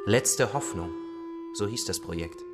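An actor playing a patient, solemn father-like survivor who tells stories gently and with measured phrasing in a radio drama. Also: fairly low pitch, expressive, music in the background. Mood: storytelling. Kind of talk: radio drama acting, storytelling.

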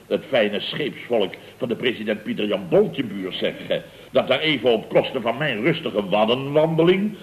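A man's voice: mid-range voice, lively intonation, moderate pace, natural voice. Male actor playing pompous boss, self-justifying bluster, wildly varying intonation, muffled vintage radio comedy sound. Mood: scornful, smug. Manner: blustering, indignant, sarcastic. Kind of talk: 1970s Dutch radio comedy acting. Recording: dull old radio recording.

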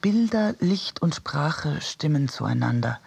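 A reflective narrator looking back in a literary radio piece. The voice sits fairly high and is strikingly husky, with a gravelly fry. Delivery is measured and quiet. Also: male voice, lively intonation, exaggerated voice. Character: reflective narrator recalling childhood, cinema and wartime loss. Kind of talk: literary first-person narration for radio drama.

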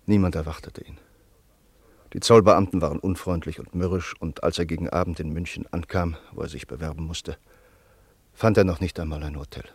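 A man narrating a radio play in a measured, grave tone. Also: sits low, slightly rough, no room sound.

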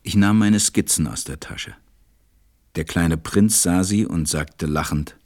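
A man's voice: low-pitched, measured pace, a little mellow, dry recording, clean studio sound. A man narrating and acting in an audio drama as a tender, melancholy stranded pilot. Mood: wistful.

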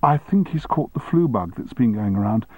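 A man's voice: mid-range voice, lively intonation, normal speed, mellow, husky, dry recording. A man voicing a cheerful, polite young female secretary.

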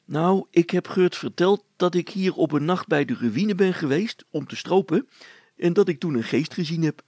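Man playing a high-pitched, sly village poacher. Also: normal speed, darkish tone, husky, dry recording. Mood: mischievous. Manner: chatty, folksy, mischievous. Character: sly, cheerful village poacher, not easily scared. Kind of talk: acted storybook dialogue.

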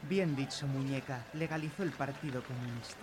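A man's voice: medium pitch, a little fast, noisy background, lightly acted. A man playing a boastful, nostalgic communist in a comic radio serial, theatrical and drawling, with music behind. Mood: proud.

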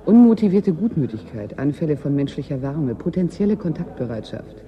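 An actress playing a self-assured, dryly ironic newcomer in a 1980s German radio drama, teasing and witty. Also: female voice, low-pitched, big pitch swings, brisk, highs cut off, a crowd in the background. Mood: wry amusement.

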